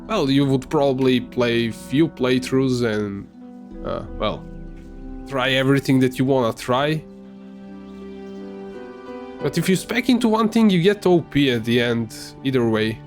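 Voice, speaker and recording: A man whose first language is Serbian, giving casual, halting commentary while playing a game, with many fillers and restarts. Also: medium pitch. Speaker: gamer.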